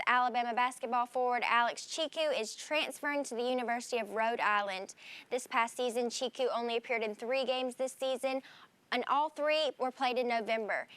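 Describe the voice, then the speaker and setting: A woman anchoring a sports update, reading scripted news in a crisp, steady newscaster delivery. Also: fairly high pitch.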